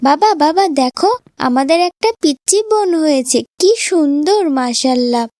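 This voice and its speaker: A woman's voice: high-pitched, expressive, normal speed, a little mellow, no room sound, clean studio sound. A woman voicing a sweet, devout little girl in a cartoon, eager and affectionate like an adoring elder sister. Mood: delighted. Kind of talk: cartoon voice acting in moral story.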